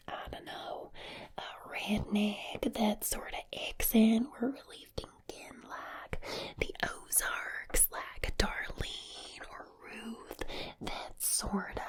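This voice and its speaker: A woman voicing an easygoing Southern country woman in whispered ASMR, with a drawn-out Southern US drawl. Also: fairly high pitch. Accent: put-on Southern US drawl. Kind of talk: whispered ASMR accent demonstration.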